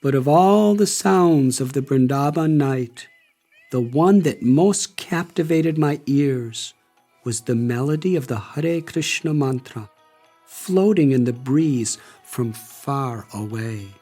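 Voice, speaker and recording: Slow, reflective older man narrating. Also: mid-range voice, expressive, dark tone. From United States. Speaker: author reading his memoir. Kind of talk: audiobook narration. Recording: light music under the voice.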